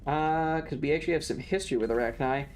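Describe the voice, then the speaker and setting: A woman's voice: low voice, fast talker, darkish tone, slight background noise. A woman streamer giving casual, sweary, joking commentary while she plays a video game. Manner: joking, irreverent.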